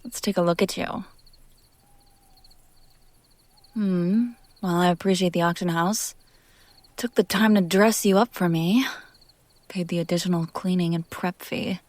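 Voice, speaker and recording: Woman acting as a possessive demon lady, lowish voice, teasing and theatrical, close dry mic, intimate audio roleplay. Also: steady tone, a little mellow, no room sound, natural voice. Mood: teasing, admiring. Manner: teasing, theatrical, drawn-out phrasing dropping to whispers.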